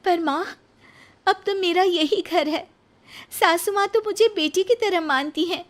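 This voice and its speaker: A woman's voice: very high-pitched, a little slow, pitched above the performer's own voice. A woman voicing a devoted young widowed daughter-in-law in an earnest, pleading way for a moral story. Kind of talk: animated moral-story acting.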